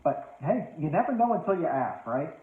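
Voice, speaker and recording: A man hosting an interview in a casual, joking way, rambling with animated asides. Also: on the high side, normal speed. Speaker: podcast host. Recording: tinny phone-like line, echoey room.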